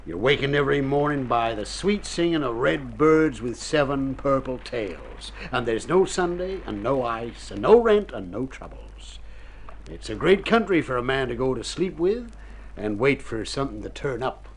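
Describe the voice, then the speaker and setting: A gruff schooner captain, played by a man in a radio play, shady but soft-hearted. His gravelly voice swings up and down as he teases in folksy seafarer slang, over faint old-recording hiss. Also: medium pitch, lively intonation, normal speed, close to an everyday voice. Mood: wry, teasing. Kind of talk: old radio drama acting.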